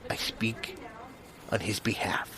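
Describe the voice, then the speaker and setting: A man's voice: fairly low pitch, fairly even intonation, slow, sharp tone. An actor playing an insistent, mock-courteous drinker who speaks for his companion, in improvised audio comedy. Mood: glib, persuasive. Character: smooth-talking thirsty drinker, self-appointed spokesman for his companion.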